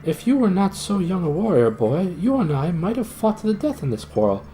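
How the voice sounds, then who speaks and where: A man's voice: high-pitched, big pitch swings, brisk, warm tone, soft background music, pitched above the performer's own voice. A man reading a storybook aloud, voicing a proud young male knight with commanding, old-fashioned speech. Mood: earnest proud.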